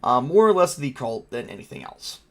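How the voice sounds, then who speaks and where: A man's voice: mid-range voice, big pitch swings, fairly quick, fairly bright tone. A man hosting a tabletop game livestream as game master, chatting informally in a rambling, mildly crude way. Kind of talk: casual livestream chat.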